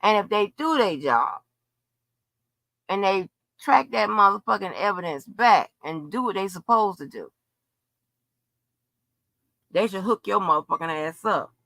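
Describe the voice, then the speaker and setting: A woman's voice: mid-range voice, lively intonation, a little slow, gravelly, long pauses, a bit bright, no room sound. A woman in an unscripted livestream rant, blunt, profane and confrontational, repeating phrases for emphasis. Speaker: livestream host.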